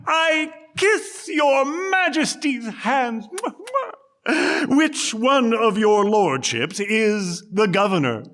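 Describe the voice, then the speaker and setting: Swooping, flattering con-man showman. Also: very high voice, very slow, long reverb tail, heavily acted. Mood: fawning. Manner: florid, theatrical, oily salesmanship. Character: Montiel, flattering, grandiose con-man showman with a puppet show. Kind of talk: stage-style reading of a classical comic play.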